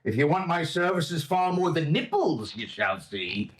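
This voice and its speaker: A man reading the part of a gruff, unleashed Arctic frontiersman at a script table read, with a husky voice. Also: on the high side, very animated, unhurried. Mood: gruff, commanding. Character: gruff wild Arctic frontiersman John Ray.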